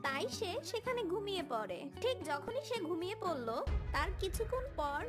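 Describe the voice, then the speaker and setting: Very high-pitched woman storyteller. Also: big pitch swings, normal speed, over music. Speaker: narrator. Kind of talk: scripted children's story narration.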